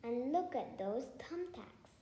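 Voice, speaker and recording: A woman's voice: sits high, animated, slow pace, dark tone. A woman voicing an eager, earnest male pig for a storybook, drawing out his words. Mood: eager, admiring. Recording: echoey room, faint background noise.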